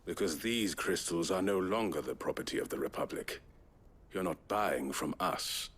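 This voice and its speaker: An actor voicing a shady, oily soldier in a video game, sly and mock-deferential. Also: male voice, low voice, lively intonation, normal speed, a bit bright, husky, full character voice. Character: shady soldier dealing in stolen crystals, oily.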